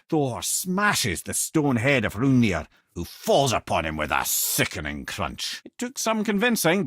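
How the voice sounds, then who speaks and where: An old Scottish talking head from a fantasy game, wise and wry. His pitch swings freely as he speaks like an eloquent, avuncular storyteller, in a close, dry recording. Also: fairly bright tone, no echo. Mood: lively storytelling. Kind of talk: video game character acting, storytelling.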